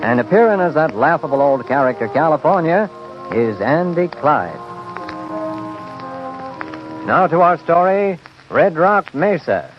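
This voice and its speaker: A man's voice: on the high side, sing-song, normal speed. A man with an American accent announcing and narrating grandly in dramatic old-time radio style. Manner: grand, theatrical. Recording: muffled old radio recording. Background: loud music.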